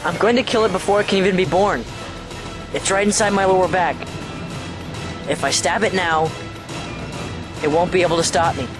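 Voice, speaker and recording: A man plays a troubled son possessed by something inside him, in a high-pitched voice. The delivery is intense audio drama acting, with prominent music playing underneath. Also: moderate pace, pauses often, close to an everyday voice. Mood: grim, determined. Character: troubled possessed son, menacing then resigned.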